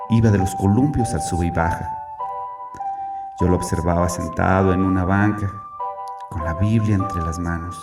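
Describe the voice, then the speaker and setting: An actor playing a tormented, guilt-ridden religious father, narrating a horror audio drama gravely and deliberately. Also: lowish voice, natural voice. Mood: somber recollection. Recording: voice over prominent music.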